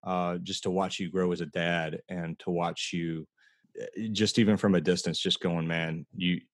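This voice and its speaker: A man's voice: low-pitched, level tone, moderate pace, dry recording, clean studio sound. An American man in his late thirties hosting a casual, heartfelt podcast chat, talking earnestly and telling stories conversationally. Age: late 30s. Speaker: podcast host.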